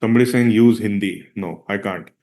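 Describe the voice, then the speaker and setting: A man's voice: on the low side, fairly quick, darkish tone. Man leading an online workshop. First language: Marathi. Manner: conversational, frequent "all right?" checks and "uh" fillers. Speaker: filmmaker and workshop presenter. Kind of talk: live online workshop talk.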